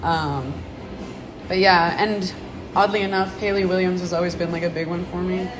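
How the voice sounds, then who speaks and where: A young woman with a husky, rough voice, talking casually and slangily in a bar about her band, often laughing. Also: on the low side, fairly even intonation, moderate pace, background noise. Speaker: band member. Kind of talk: casual chat.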